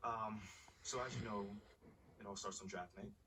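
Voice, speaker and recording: A man's voice: fairly low pitch, steady tone, moderate pace, far from the mic, noisy background, close to an everyday voice. A man playing a confident young American pro basketball player in a video game, talking in casual slang. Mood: earnest, candid. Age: young adult. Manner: slangy, conversational.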